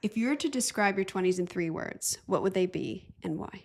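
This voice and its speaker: A woman's voice: mid-range voice, animated, a little fast, a little mellow. A young woman co-hosting a podcast, bubbly and enthusiastic, asking questions and laughing often. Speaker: podcast co-host. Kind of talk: casual interview.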